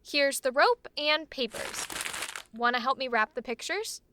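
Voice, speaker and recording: A woman's voice: sits high, expressive, measured pace, noisy background. A woman playing a playful, curious young woman in an old-time radio drama recreation. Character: bright, teasing young woman Layla.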